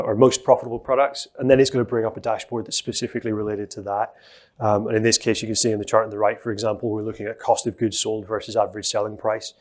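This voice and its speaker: A middle-aged man on a conference stage, explaining a live software demo in a steady, explanatory way with frequent fillers. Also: on the low side. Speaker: presenter giving a software demo.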